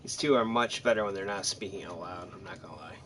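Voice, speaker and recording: A man's voice: deep voice, fast, fairly bright tone, slight background noise. A man giving casual, rambling commentary on his own video game playthrough, thinking aloud as he goes. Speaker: gamer narrating own playthrough.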